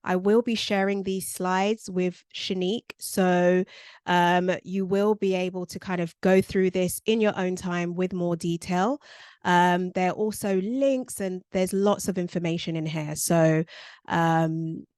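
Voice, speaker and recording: A woman's voice: lowish voice, fairly even intonation, unhurried, a little mellow, smooth, no echo, studio quality. A woman, presenting a career workshop over a video call in a conversational way with frequent ums. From born in Nigeria, raised in South London.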